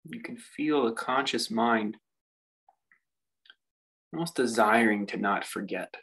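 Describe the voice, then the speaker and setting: Male meditation guide, gravelly and husky, contemplative delivery with lots of space between phrases. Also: medium pitch, a bit bright. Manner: unhurried, reflective delivery with long pauses. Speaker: meditation teacher.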